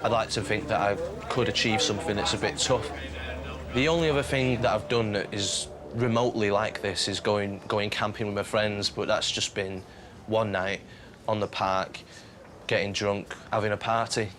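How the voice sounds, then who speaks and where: A man's voice: lowish voice, steady tone, moderate pace. A young man talking casually and self-deprecatingly as a reality show contestant outdoors. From Stockport, near Manchester. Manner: plain-spoken, self-deprecating. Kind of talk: casual chat and confessional. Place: outdoors in jungle. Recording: outdoor noise.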